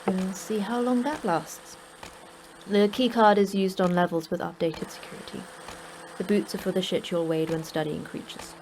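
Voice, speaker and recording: A woman's voice: mid-range voice, steady tone, moderate pace, a little mellow, close to an everyday voice, rough recording. An actress playing the terse, dry, matter-of-fact female manager of a secret monster facility in a sci-fi horror audio drama. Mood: dry, brisk. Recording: clear background noise.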